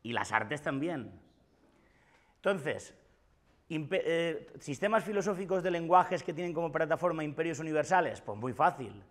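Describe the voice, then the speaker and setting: A man's voice: on the high side, expressive, moderate pace. A man in his forties with a Valencian Spanish accent, giving an emphatic, gesturing philosophy lecture to students in a classroom, often repeating his points. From Valencia, Spain. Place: classroom with whiteboard. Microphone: far camera.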